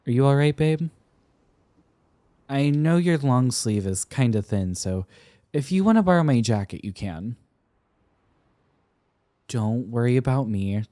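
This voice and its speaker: A man's voice: medium pitch, no echo, natural voice. A young man playing a tender, flustered college-age boyfriend in an intimate romantic roleplay, with hesitant, stammering delivery. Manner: hesitant, stammering, soft-hearted.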